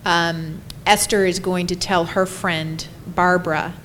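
Woman presenter over rumbling background noise. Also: slow, low-quality recording. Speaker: panel presenter, care staff leader. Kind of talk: answering audience questions in a panel discussion. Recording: steady loud background noise, low rumble.

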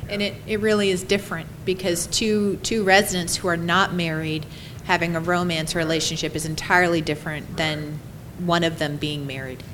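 Lower-voiced woman admin, thinking out loud with lots of ums, bit of background hiss. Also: little pitch movement, normal speed. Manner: hesitant, with many ums. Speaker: care facility administrator. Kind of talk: group discussion.

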